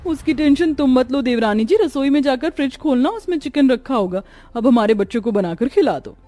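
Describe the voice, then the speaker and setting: A woman's voice: high-pitched, animated, brisk, dark tone, no echo, faint music behind. A woman voicing a sly, lazy, gossipy elder sister-in-law in a cartoon story, with a catty, gloating manner. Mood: smug.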